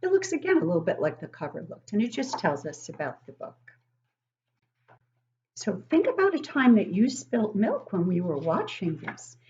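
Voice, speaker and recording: An older woman reads a picture book aloud to young children like a teacher, expressively, pausing to ask them questions. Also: medium pitch, huge pitch swings, a little mellow, highs cut off, slight background noise. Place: living room at home, indoors.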